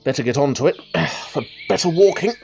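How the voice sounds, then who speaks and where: An actor playing a chatty, wry country rambler who thinks aloud, musing and exclaiming in old-fashioned idiom, in a radio drama monologue. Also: male voice, on the high side, lively intonation, fairly quick, gravelly, a little mellow, faint music behind. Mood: musing, observant. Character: chatty male country rambler talking to himself, wry and excitable.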